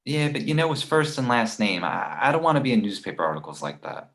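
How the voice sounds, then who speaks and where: A man's voice: mid-range voice, very animated, fast, a bit bright. A man playing a nervous, nagging confidant who argues and worries aloud in a screenplay table read. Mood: nervous, agitated. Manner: argumentative, worrying aloud. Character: Victor, nervous nagging male confidant of a writer.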